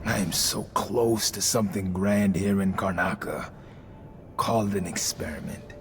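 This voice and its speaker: A stern, ambitious church officer in a video game. His low voice is measured and self-important, with polite menace under it. Clear background noise runs behind him. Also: steady tone. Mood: calculating, grandiose. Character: ambitious, stern male vice overseer of a religious order. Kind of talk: dramatic video game dialogue.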